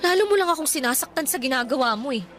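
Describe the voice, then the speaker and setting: An actress voicing a hurt young woman who confronts the man who lied to her, with sharp, reproachful retorts in a radio drama. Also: sits high, animated, racing, soft background music. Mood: bitter, hurt. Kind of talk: Tagalog radio drama acting.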